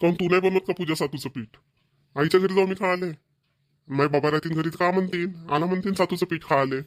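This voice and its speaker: A man's voice: high voice, fast talker, no echo, studio quality, lightly acted. A man voicing village sons and husbands in a cartoon comedy, with a rural Vidarbha Marathi accent. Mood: pleading. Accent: Maharashtrian, rural Vidarbha Marathi. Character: village men, dutiful sons and put-upon husbands.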